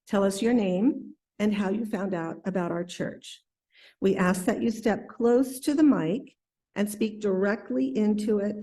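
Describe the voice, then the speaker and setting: A woman leading a church service, speaking slowly and in a measured way. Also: mid-range voice, mellow. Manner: slow, measured reading. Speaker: service leader.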